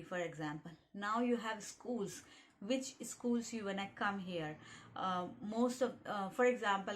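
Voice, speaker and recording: A woman with a Delhi Indian accent, a native Hindi speaker, talks to her viewers in a casual advice vlog. Her voice sits at a middle pitch, and she keeps urging them to plan and prepare. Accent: Indian English, Delhi. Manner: earnest and advising, repeats pleas to prepare. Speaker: vlogger giving study-abroad advice. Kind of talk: casual advice talk to camera.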